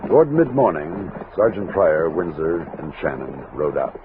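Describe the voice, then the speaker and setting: An actor playing a steady army captain who narrates and gives firm orders in a 1960s Western radio drama. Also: male voice, low-pitched, moderate pace, over music. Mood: measured, reflective. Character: steady commanding army captain leading a frontier expedition. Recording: thin lo-fi old radio recording, hiss.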